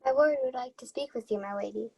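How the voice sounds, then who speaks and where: An earnest young page, devoted and sincere, played by a young woman with a high voice. The lines are acted as Shakespeare in an old-time radio play. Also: fast talker, gravelly, dark tone, breathy edge. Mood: polite. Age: young adult.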